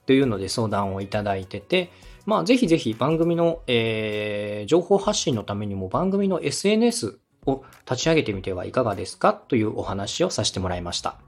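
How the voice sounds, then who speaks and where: A man's voice: on the low side, very animated, fairly quick, hardly any pauses, soft background music. A man hosting a podcast, giving feedback in a polite, encouraging way. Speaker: podcast host and adviser. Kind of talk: friendly podcast coaching talk.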